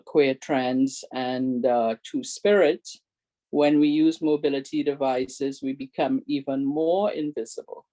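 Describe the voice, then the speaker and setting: Older woman presenter with a big voice, unhurried and warm in tone, earnestly urging her listeners. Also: very deep voice, poor audio. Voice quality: big, strong voice. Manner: earnest, pleading, repeats requests. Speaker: presenter and advocate. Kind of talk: community awareness talk.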